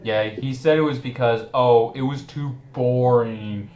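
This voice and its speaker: A man's voice: mid-range voice, fairly even intonation, unhurried, darkish tone, lo-fi sound. A man hosting a casual group commentary chat, speaking in a mocking manner. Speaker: commentary video host. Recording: echoey room with clear background noise.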